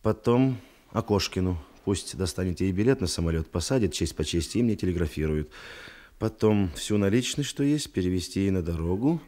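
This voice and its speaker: Restrained detective, very low mellow voice, laconic, hesitant, radio drama. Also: male voice, lively intonation. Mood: resolute, musing. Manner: laconic, hesitant, deliberate phrasing. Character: plain, restrained, lovestruck male police detective. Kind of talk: Russian radio play acting.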